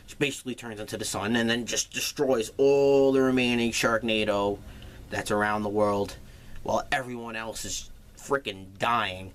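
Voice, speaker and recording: Crude, animated male movie reviewer. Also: lowish voice, normal speed. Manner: crude, animated plot retelling with swearing. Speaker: film reviewer, show host. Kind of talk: casual comedic movie review.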